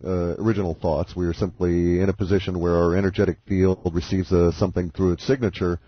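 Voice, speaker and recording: Husky-voiced man explaining slowly over a call. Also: low voice, dry recording. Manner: deliberate, philosophical, speculative. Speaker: guest speaker on a conference call. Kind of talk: measured explanatory monologue. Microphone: phone line. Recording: muffled phone-call audio.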